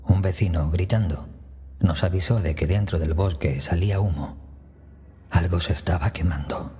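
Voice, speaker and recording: A man narrating a scripted first-person horror story in a hushed, breathy manner that often nears a whisper, with music behind him. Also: deep voice, moderate pace, a little hiss or hum. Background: background music.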